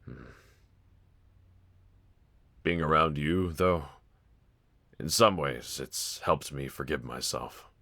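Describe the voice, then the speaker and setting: A man's voice: extremely low pitch, takes it slowly, vocal fry, long pauses, sharp tone, heavily acted. A man playing a brooding young villain roommate in an intimate audio roleplay, confiding hesitantly and wryly to one listener. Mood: bitter, confessional. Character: brooding young male villain roommate, self-loathing but softly grateful.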